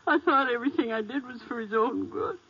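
An actress playing a heartbroken, devoted daughter in a 1950s radio drama, tearful, halting and pleading. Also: female voice, a little high, slightly rough. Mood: tearful regret. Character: heartbroken devoted grown daughter of an old man. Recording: muffled vintage 1950s radio recording.

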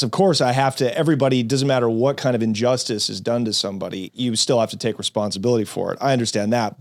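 A man's voice: mid-range voice, no room sound, clean studio sound. A man hosting an interview, a former drug dealer, talking bluntly with street slang and frequent interjections. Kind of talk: casual interview chat.

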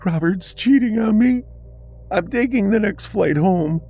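A man voicing a heartbroken young wife whose voice is pushed up high and strained with tears. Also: expressive, moderate pace, a little mellow, faint music behind, close to an everyday voice. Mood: tearful. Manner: raised pitch, strained and tearful.

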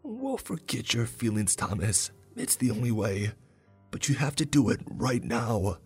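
A man's voice: mid-range voice, very animated, unhurried, darkish tone, husky, faint music behind, pitched above the performer's own voice, heavily acted. A man voicing a stern old grandmother who scolds and commands her grandson. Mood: mocking.

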